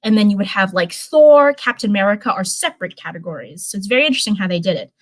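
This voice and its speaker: A woman's voice: mid-range voice, expressive, fast talker. A woman with a mid-range voice hosts a live reading stream. She is informal and chatty, speaking casually as if straight to her viewers. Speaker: host of a live stream reading fan fiction. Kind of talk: casual livestream chat and live story reading.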